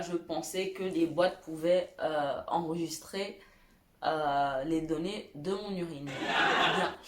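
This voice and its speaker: A young woman telling funny childhood stories to her viewers, self-mocking, giggling and pausing on fillers. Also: fairly low pitch, echoey room. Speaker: YouTuber. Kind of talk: storytelling vlog.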